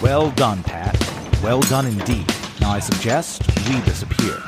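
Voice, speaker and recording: A woman playing a cold, scheming spymaster in an audio drama, measured, condescending and menacing. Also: a bass voice, lively intonation, loud music behind the voice. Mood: smooth, dismissive. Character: cold, scheming senior spymaster, a treacherous villain.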